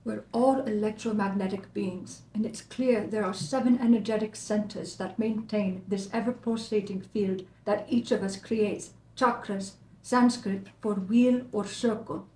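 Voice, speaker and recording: An actress playing an earnest, calm woman yoga teacher at a table read. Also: medium pitch, measured pace, few pauses, echoey room, a little hiss or hum, natural voice, rough recording. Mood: earnest lecturing.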